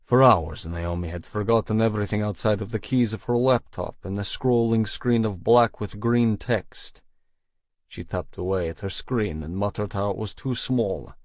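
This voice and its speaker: A man reading first-person audiobook narration in a measured, serious way, with a slightly dull, dry sound. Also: on the low side, dry recording.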